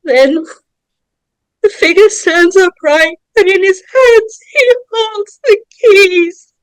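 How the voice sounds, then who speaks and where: A woman narrator reading horror screenplay stage directions in a dramatic, suspenseful way, with deliberate pauses. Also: very high voice, dry recording, rough recording. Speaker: narrator of a script table read.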